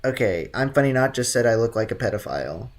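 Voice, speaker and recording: A man's voice: medium pitch, big pitch swings, fast talker. A man hosting a casual game livestream, commenting and reading chat with blunt, teasing banter. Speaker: livestream host.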